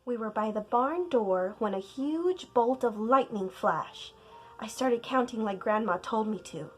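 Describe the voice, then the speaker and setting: A woman reads a picture book aloud in the manner of a teacher. Her voice is fairly high and her pace very slow and deliberate. She narrates expressively, putting dramatic weight on key words. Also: animated, slight background noise.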